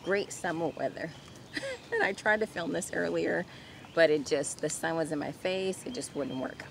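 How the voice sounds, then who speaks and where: A woman's voice: low-pitched, normal speed. A middle-aged woman vlogger telling a personal story casually to a camera outdoors. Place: outdoors in a home garden. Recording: clear outdoor ambient noise.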